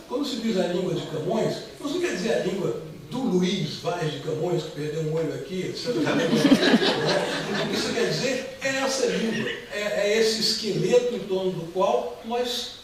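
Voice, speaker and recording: A man's voice: high-pitched, a little hiss or hum. A Brazilian man with a Brazilian Portuguese accent giving discursive, formal remarks on a conference panel, full of hesitations and asides. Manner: discursive, many hesitations and asides, recites verse. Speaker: panel speaker. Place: large conference hall. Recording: very echoey hall, voice from far off.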